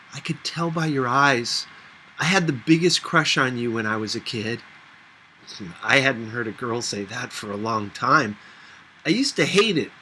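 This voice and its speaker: A man's voice: mid-range voice, sharp tone, slight background noise, low-quality recording. A man reading a first-person story aloud in a conversational, reflective manner. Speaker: audiobook reader.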